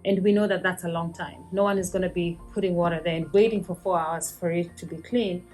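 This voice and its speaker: A woman professor from Kenya, explaining her research in a measured way with frequent pauses and ums. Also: fairly low pitch, fairly even intonation, a little mellow, faint music behind. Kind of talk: interview about research.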